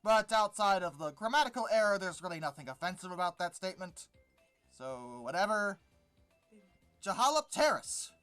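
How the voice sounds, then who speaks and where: Exasperated YouTube host, mid-pitched voice, sardonic asides while critiquing an article, halting emphatic repeats, light music underneath. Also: sing-song, a bit bright, clear, resonant tone. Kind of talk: reaction commentary, reading an article and critiquing it.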